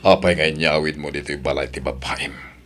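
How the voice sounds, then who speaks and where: A man's voice: extremely low pitch, fairly even intonation, normal speed, a bit bright, a little hiss or hum. An actor with an Ilocano accent playing a stern, disapproving father in an audio drama.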